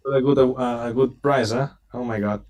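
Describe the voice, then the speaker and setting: A man's voice: medium pitch, steady tone, moderate pace, darkish tone. A man who is not a native English speaker, appearing as a company representative on a live stream over a video call and talking in an earnest, repetitive way. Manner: earnest, repeats words while speaking. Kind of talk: casual live stream chat.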